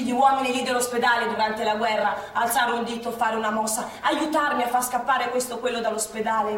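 A woman performing a lively theatrical monologue on a stage, recalling a wartime past. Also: on the high side. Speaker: actress in a stage play. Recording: echoey theatre from far off.

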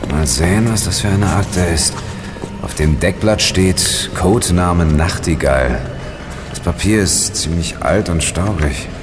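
A young detective leader in a radio play, with a deep voice. He sounds practical and wry, heard in a long reverb with music running underneath. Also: a little slow. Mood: intrigued. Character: level-headed young male sleuth, quick to lead. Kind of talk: German audio drama acting.

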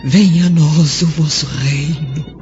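A woman playing an old, devoted slave woman in a radio drama, speaking with slow reverence. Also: low voice, fairly even intonation. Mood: prayerful. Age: older. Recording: dull lo-fi audio under loud music.

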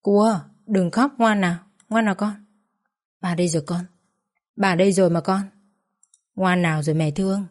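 A woman voicing a grieving young mother who talks softly and pleads close to tears. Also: on the low side, normal speed, pauses often, lightly acted. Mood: soothing. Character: grieving young mother at her husband's bedside.

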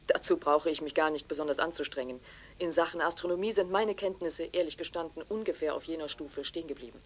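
Courteous, eager young reporter, lowish voice woman acting. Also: a little hiss or hum, natural voice. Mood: eager, coaxing. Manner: courteous, persuasive, formal, sometimes hushed. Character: deferential, eager young television reporter, addressed as a man. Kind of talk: radio drama acting.

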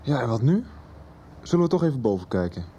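A man's voice: mid-range voice, big pitch swings, fast, mellow, dull audio, a little hiss or hum, close to an everyday voice. An actor in a Dutch radio soap playing a blunt, impatient, eager teenage boy. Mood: pushy, eager.

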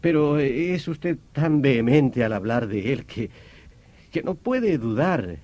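An actor in a radio drama playing a courteous, skeptical police inspector of about thirty, measured and questioning. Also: male voice, medium pitch, big pitch swings, mellow. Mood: skeptical, pensive. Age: 30s.

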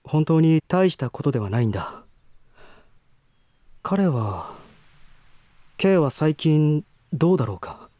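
An actor playing a troubled, reserved young gentleman in a voice drama, hesitant, introspective and formal in manner. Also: fairly high pitch, very animated, takes it slowly, long pauses, dry recording. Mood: guarded, hesitant. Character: troubled, reserved young gentleman brooding over his half-brother. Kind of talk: Japanese voice drama acting with inner monologue.

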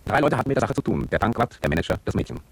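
An actor playing a detached, ominous narrator who speaks in terse fragments in a noisy radio drama recording. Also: male voice, fairly low pitch, sing-song, very fast, husky, full character voice.

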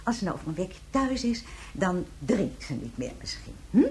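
A woman's voice: lowish voice, very animated, normal speed, darkish tone, slight background noise. A woman playing a flustered, scatterbrained schoolteacher with a short temper, scolding and rambling emphatically in a Dutch radio drama. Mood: agitated, rambling.

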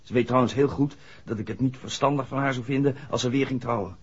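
Guy acting young village mechanic in old 60s radio play, polite, unsure, bit husky, muffled sound. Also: mid-range voice, quick pace, close to an everyday voice. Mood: defensive. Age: young adult. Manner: hesitant, deferential to his questioner. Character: earnest young village garage man, worried about mother. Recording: dull 1960s radio drama recording.

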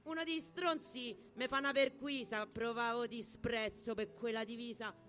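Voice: mid-range voice, steady tone, normal speed, pushed and bright. A performer with a Roman accent giving a theatrical, emphatic spoken-word recital of rhymed verse over guitar music. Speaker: poetry performer.

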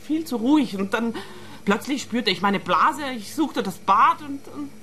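An actress playing a distraught young woman who is shaken and halting in a radio play. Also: a little high, animated, a bit bright, a little hiss or hum.

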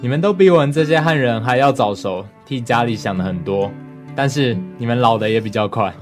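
An actor playing a concerned, gently teasing older male friend in a radio drama, casual and inquisitive. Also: mid-range voice, brisk, soft background music, close to an everyday voice. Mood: sympathetic.

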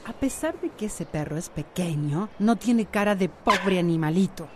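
A woman's voice: fairly low pitch, animated, moderate pace, lightly acted. A woman playing a sceptical, independent, exasperated character in a radio drama. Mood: incredulous. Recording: noisy background.

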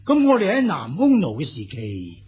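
An actor playing a deferential, insinuating court official reporting in a Cantonese radio drama, with an obsequious manner and a Hong Kong Cantonese accent. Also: male voice, fairly high pitch, moderate pace, slightly rough, heavily acted. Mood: earnest reporting. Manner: obsequious, animated storytelling.

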